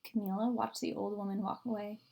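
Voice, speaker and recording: A woman reading a children's story aloud expressively and deliberately, stretching words for emphasis. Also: medium pitch, level tone, creaky, warm tone. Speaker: story reader.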